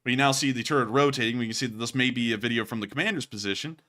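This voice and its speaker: A man's voice: medium pitch, racing. A man hosting a war news show, talking casually over video clips, speaking straight to his viewers and often sounding incredulous. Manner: animated, talks straight to viewers, incredulous asides.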